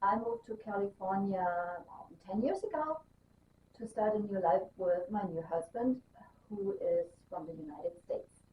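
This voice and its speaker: A woman's voice: mid-range voice, a little slow. A woman from Germany, chatting casually and enthusiastically as host of her own knitting vlog, with frequent ums and restarts. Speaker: knitting designer and podcast host. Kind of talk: casual chat. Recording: roomy, distant, dull sound with faint hiss.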